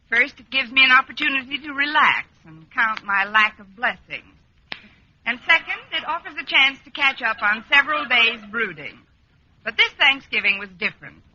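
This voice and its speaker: A sardonic schoolteacher in vintage radio comedy, very bright and cutting, with lively swings of pitch. The recording is muffled and old. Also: female voice, full character voice. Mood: wry. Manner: dry, sarcastic. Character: wry, sardonic female schoolteacher, long-suffering lodger. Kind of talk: 1940s-50s radio comedy acting.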